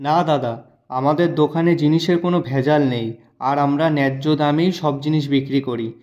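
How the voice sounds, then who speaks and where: A man plays a principled village grocer in a moral cartoon. His voice is slightly high, with earnest, courteous assurance. The audio is a little dull and lo-fi. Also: fairly quick, darkish tone, lightly acted. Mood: courteous, assuring. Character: honest, principled village grocer and loyal friend. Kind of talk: Bengali moral-story cartoon dubbing.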